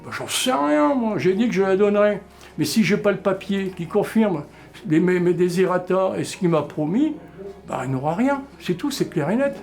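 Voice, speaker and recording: A man's voice: high-pitched, animated, moderate pace, gravelly, darkish tone, soft background music. An older man, a village mayor, talking bluntly and plainly in a casual interview. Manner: blunt, plain-spoken, colloquial.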